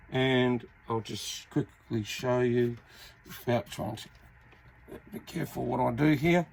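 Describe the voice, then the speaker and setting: A man giving an informal, matter-of-fact repair tutorial, pausing mid-sentence as he works. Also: medium pitch, creaky, a little mellow, husky, rough recording. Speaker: hobbyist radio repairer, video host. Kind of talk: casual hands-on repair tutorial.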